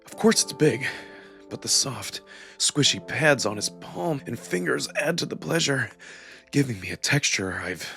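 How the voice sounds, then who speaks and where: Male narrator, medium register, for first-person visual novel drama. Expressive, emotive read with music underneath. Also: medium pitch, a little slow, gravelly. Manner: expressive, acted delivery with emotional emphasis. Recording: music behind the voice.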